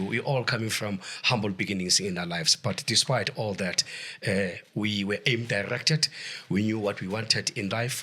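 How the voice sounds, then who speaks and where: Bright, husky-voiced male host. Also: on the low side. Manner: many filler sounds and short okays. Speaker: show host. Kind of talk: studio sports interview. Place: studio.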